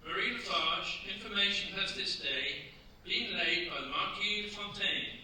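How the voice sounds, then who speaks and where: A man's voice: high-pitched, pushed and bright, exaggerated voice. A man playing a stern, relentless French official in a staged radio play, speaking formally and accusingly. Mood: cold, official. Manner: formal, accusatory, deliberate phrasing. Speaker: amateur radio play actor. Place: live theatre stage. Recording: echoey stage, distant mic, faint noise.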